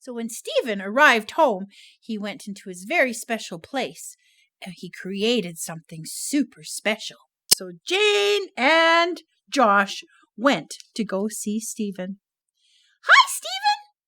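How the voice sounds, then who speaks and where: A woman narrating a children's puppet story in an animated, sing-song manner. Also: fairly high pitch, slow.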